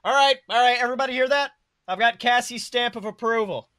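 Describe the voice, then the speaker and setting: A man's voice: extremely high pitch, fast, bright, forceful tone, no echo, voice pushed up. A man voicing a cocky, joking billionaire superhero with glib, casual banter in a story reading. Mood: playfully boastful.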